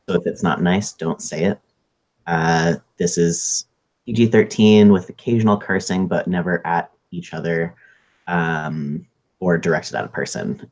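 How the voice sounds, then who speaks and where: A thirty-year-old man co-hosting a casual live stream, speaking in a measured way with ums. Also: deep voice, darkish tone. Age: 30s. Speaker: co-host and social work intern.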